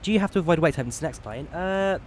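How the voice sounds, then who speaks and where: A man's voice: fairly high pitch, lively intonation, racing. A man giving casual live stream commentary while flying a simulator, chatty and self-deprecating.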